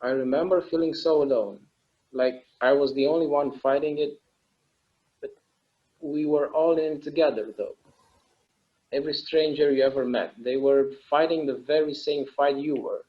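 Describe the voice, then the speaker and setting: A man acting a reflective immortal character in a naturalistic table read, speaking in a halting, earnest, conversational way. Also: on the high side, fairly even intonation, close to an everyday voice. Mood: wistful, solemn. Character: wistful, reflective immortal man confiding in his partner. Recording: slightly dull, lo-fi sound.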